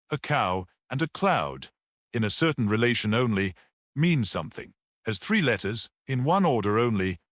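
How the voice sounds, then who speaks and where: A man's voice: medium pitch, a bit bright, no echo, clean studio sound, close to an everyday voice. A man voicing an earnest priest-detective in an audiobook, explaining things with rhetorical passion. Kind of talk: dramatic audiobook character reading.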